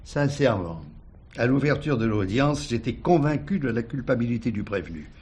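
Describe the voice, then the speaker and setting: An older retired judge in a radio drama, haunted by doubt. His voice is slightly husky and middling in pitch, and he recounts things formally, pausing to reflect. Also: lively intonation, a little mellow. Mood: reflective, hesitant. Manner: hesitant, reflective, formal storytelling.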